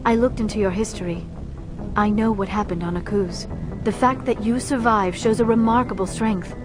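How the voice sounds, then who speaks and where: A woman's voice: mid-range voice, normal speed, close to an everyday voice. An actress playing a reserved, earnest young alien woman in a video game, speaking formally and precisely. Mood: earnest, thoughtful. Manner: formal, precise, few contractions. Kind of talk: video game dialogue acting. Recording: loud background music.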